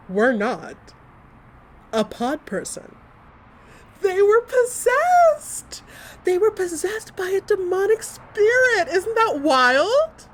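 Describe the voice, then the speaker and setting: A woman acting a chatty, excitable gossip who tells stories to a friend in a theatrical, emphatic way, in a comic audio drama monologue. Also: very high-pitched, full character voice. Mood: scandalized delight.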